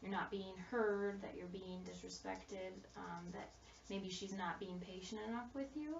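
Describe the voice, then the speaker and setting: Brief: soft-spoken woman counselor, encouraging, lots of "okay" and "sure"; muffled, roomy recording with faint hiss. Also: mid-range voice, fairly even intonation, moderate pace, creaky. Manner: gentle, reassuring, frequent fillers and affirmations. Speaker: school social worker. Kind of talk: counseling role-play. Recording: distant, roomy, dull recording.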